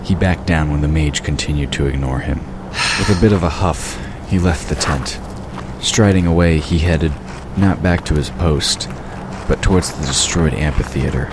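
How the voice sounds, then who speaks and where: Very deep-voiced male storyteller. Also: normal speed, noisy background. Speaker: narrator. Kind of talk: audiobook narration of a fantasy drama.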